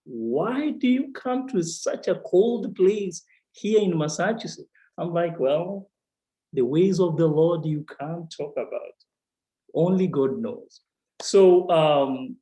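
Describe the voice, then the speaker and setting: A man with a Kenyan accent, an assistant chaplain, telling his story in a relaxed and playful way. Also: on the high side. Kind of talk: casual storytelling on a video call. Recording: roomy echo.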